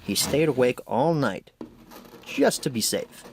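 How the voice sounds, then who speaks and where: A man reads a children's story aloud as narrator. He takes it slowly and carefully, with lively, expressive movement in pitch. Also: gravelly, a little hiss or hum. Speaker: amateur story reader.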